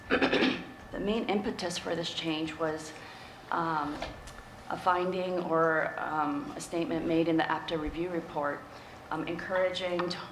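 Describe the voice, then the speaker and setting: Raspy woman briefing a board, echoey room. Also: deep voice. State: coming down with a cold, throat-clearing. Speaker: staff presenter at a public board meeting. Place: indoor board meeting room. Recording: echoey meeting room with clear background noise.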